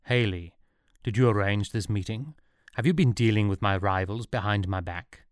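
A man plays an imperious older man of science in a storybook, jealous and theatrical. The voice is smooth and clearly articulated, in a dry, close recording. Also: lowish voice, moderate pace, dry recording, natural voice. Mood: suspicious, demanding.

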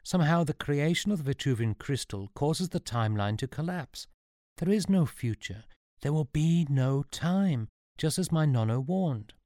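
A man's voice: mid-range voice, expressive, normal speed, mellow, no room sound, studio quality, lightly acted. A man voicing an anxious young male hero in a story, urgent and insistent as he pleads and questions. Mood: anxious appeal.

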